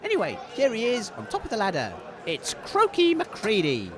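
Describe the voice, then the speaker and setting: Man as an excitable, very high-pitched commentator. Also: normal speed. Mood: chatty. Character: excitable, chatty male radio sports commentator in wasteland. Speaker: radio co-host. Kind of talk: live commentary in comedy audio drama. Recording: outdoor event with crowd behind.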